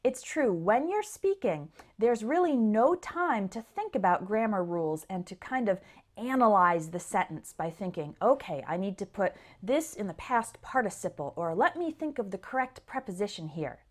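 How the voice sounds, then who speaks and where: A woman English teacher giving an instructional lesson, explaining things clearly and speaking directly to learners. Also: mid-range voice, big pitch swings, normal speed. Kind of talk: instructional lesson to camera or listeners.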